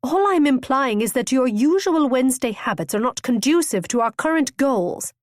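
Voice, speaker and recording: A woman's voice: high-pitched, expressive, normal speed, dry recording, studio quality, voice pushed up. A woman reading as a proud, impulsive young lady. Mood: tart. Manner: tart, tearful indignation.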